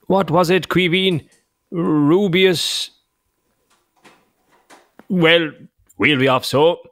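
A man playing a gentle, affable Irish father in a children's audio drama. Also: mid-range voice.

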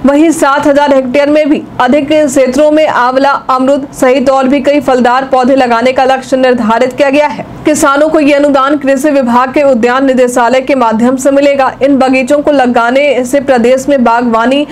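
A young woman anchoring a regional news bulletin from a studio, reading each item in a steady, even newsreader delivery. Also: sits high, moderate pace, few pauses. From India. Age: young adult.

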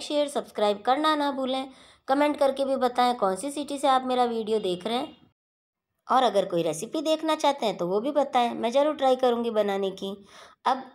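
High-voiced woman explaining a recipe. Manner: steady instructional, addresses viewers as friends. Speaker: cooking channel host. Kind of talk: voice-over recipe tutorial.

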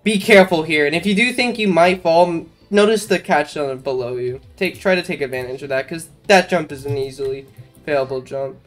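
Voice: mid-range voice, fairly quick. Casual game guide commentator over music. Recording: music behind the voice.

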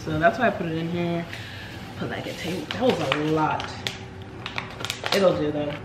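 A young woman vlogging from her home kitchen, chatting playfully to viewers as she makes a smoothie. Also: low voice, steady tone, moderate pace. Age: young adult. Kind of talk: casual vlog chat to camera. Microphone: camera on the counter. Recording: echoey kitchen with household noise.

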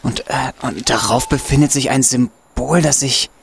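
A man's voice: mid-range voice, moderate pace, gravelly, a little hiss or hum, natural voice. A young man playing a wry, hesitant student sidekick who grumbles, in a radio play. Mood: hesitant bravado.

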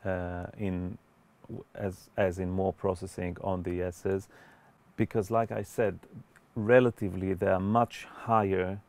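A man in his forties giving an explanatory tutorial as a music producer, in a measured, hesitant way. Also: low-pitched, flat delivery, darkish tone. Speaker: music producer and mixing instructor. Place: indoor recording studio.

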